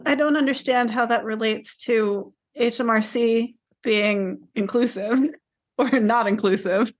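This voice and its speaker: A woman co-hosting a podcast, discussing books casually in a measured, questioning way. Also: fairly high pitch, fairly even intonation. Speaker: podcast co-host.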